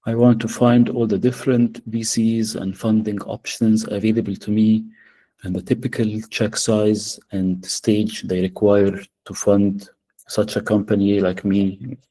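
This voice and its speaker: A man's voice: fairly low pitch, level tone, a little slow, dark tone, rough recording. A man with an Arabic accent presenting a workshop demo, hesitant and full of fillers, over a video call. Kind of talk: live software demo.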